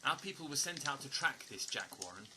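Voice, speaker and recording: A man playing an earnest stranger pleading for trust in an audio drama, speaking haltingly and repeating words. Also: medium pitch, sing-song, noisy background, close to an everyday voice. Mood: explanatory, guarded. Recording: music under the voice. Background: music.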